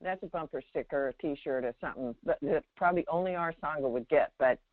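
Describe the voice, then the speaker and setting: A woman guiding callers conversationally as a spiritual teacher, speaking emphatically and haltingly, heard over a telephone. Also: deep voice, no room sound. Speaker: spiritual teacher on a call-in show. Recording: thin, narrow phone-line sound.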